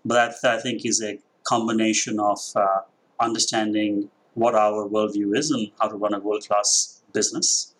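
A man in his forties with an Indian accent softened by years abroad, answering podcast questions about his business. His voice sits low and slightly husky, and he thinks out loud with frequent uh's and restarts. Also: level tone, rough recording. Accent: Indian, with a mix of international influences. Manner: measured, thoughtful, with frequent uh fillers and restarts. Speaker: company division president. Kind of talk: corporate podcast interview.

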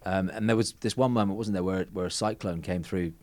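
Quick-talking low-voiced male host. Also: fairly even intonation, darkish tone, no echo. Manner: quick, chatty, stumbles and repeats words. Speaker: podcast host. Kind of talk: casual podcast interview.

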